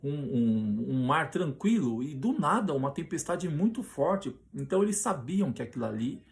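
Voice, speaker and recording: A Brazilian man preaching on a Bible passage, often restarting his sentences and slowing down to read scripture aloud with emphasis. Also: fairly high pitch, big pitch swings, creaky. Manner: hesitant with many restarts, reads scripture slowly and loudly.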